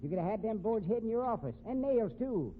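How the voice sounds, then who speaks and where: An actor playing a wily, genial old amateur sleuth in a 1950s radio drama, with a folksy ungrammatical drawl and a habit of repeating himself. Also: male voice, sits high, big pitch swings, quick pace. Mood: shrewd, accusing. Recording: tinny band-limited old radio recording, hissy.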